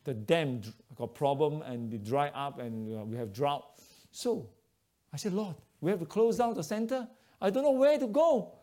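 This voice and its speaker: A man's voice: fairly high pitch, normal speed. Malaysian man preaching in broken English. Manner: broken English, animated storytelling. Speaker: preacher giving testimony. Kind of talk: testimony sermon.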